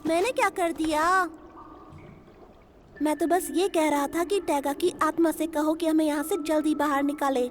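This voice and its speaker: A woman voicing an excitable, doubting young male tiger cub in a children's cartoon, with a blunt, whiny way of talking. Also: high-pitched, normal speed, a little hiss or hum, close to an everyday voice. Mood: doubting, complaining. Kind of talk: dubbed children's cartoon acting. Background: prominent music.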